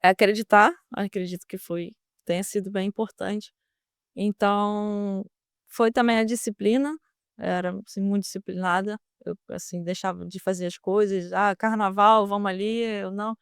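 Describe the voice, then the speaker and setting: A young woman with a Brazilian Portuguese accent answers interview questions in a smooth, clear voice. She talks earnestly, with many fillers and restarts. The sound is dry and close. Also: medium pitch, fairly even intonation, moderate pace, dry recording. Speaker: guest, former student now a merchant navy officer.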